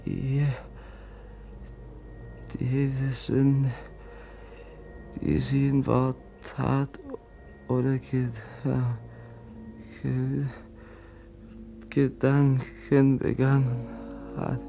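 Gravelly, slow, grief-stricken gentle prince. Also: male voice, mid-range voice, level tone, lo-fi sound, slight background noise. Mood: grieving prayer. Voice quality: husky, gravelly, breathy. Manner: halting, solemn, hushed. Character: gentle, sickly Russian prince, shaken and grieving beside a corpse. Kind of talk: German literary radio drama acting.

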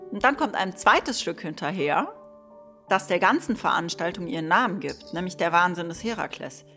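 A woman's voice: fairly low pitch, animated, normal speed, a bit bright, faint music behind. A woman giving casual, conversational commentary on a play, explaining in a reflective and wry manner.

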